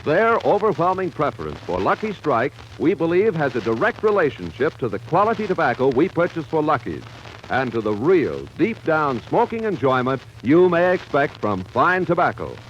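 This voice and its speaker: A man's voice: fairly high pitch, very animated, normal speed. A man announcing a scripted advertisement in 1940s radio style. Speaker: commercial announcer. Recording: muffled, slightly noisy 1940s radio recording.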